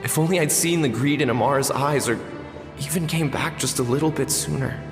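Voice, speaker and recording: A grief-stricken healer played by a man speaks in long, echoing reverb over clear music. He has a middle-pitched voice and tells his story in formal, mournful words, weighed down by guilt, as in a dramatic video game. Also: brisk, lightly acted. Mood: solemn remorse.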